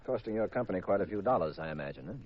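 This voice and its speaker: An actor playing an easygoing private detective and husband in a 1950s radio drama. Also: male voice, low-pitched, expressive, very fast. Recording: muffled, noisy vintage radio recording.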